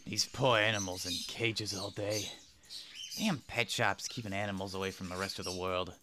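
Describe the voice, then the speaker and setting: A man playing a righteous chimp police detective in a comic noir audio drama, with dramatic, forceful delivery. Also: low-pitched, steady tone, normal speed, background noise, close to an everyday voice. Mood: bitter. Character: hard-boiled animal police detective, a chimp, righteous and theatrical.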